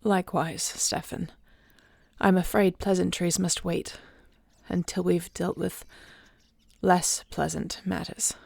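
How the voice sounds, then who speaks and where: Woman acting a commanding, low-voiced, gravelly warrior queen. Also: level tone, a little mellow, no echo, heavily acted. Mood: controlled concern. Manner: formal, imperious, deliberate. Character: commanding dragon-shifter warrior queen, fiercely protective wife. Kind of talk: fantasy audio roleplay acting.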